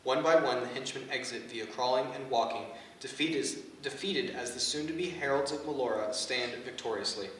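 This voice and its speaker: A man's voice: medium pitch, normal speed, hardly any pauses, fairly bright tone. A man reading stage directions as the scripted narrator of a staged play reading. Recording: echoey hall, distant mic, background noise.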